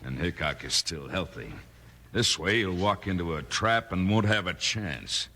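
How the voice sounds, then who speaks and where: An actor playing a vengeful, scheming outlaw in a 1950s radio Western, with menacing frontier talk. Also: male voice, deep voice, animated, a little slow, bright, forceful tone, full character voice. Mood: gloating, scheming. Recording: noisy old radio recording.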